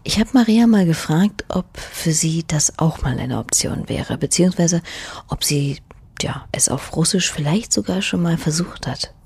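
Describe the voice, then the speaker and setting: A woman hosting a podcast, reading scripted narration in a soft, half-whispered way. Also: low voice, lively intonation, moderate pace, husky, no echo. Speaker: podcast host.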